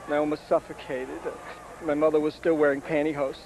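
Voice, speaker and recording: A man's voice: on the high side, little pitch movement, fast, a little mellow, a little hiss or hum, lightly acted, rough recording. A man playing a gloomy, self-absorbed sports psychologist, whiny and rambling, in a comedy interview sketch. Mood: gloomy, self-pitying.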